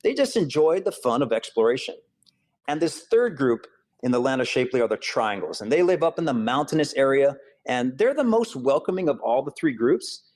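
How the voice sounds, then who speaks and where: A man's voice: mid-range voice, sing-song, brisk, darkish tone. Earnest man explaining his ideas. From Hawaii. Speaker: author and school counselor. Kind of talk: interview answers.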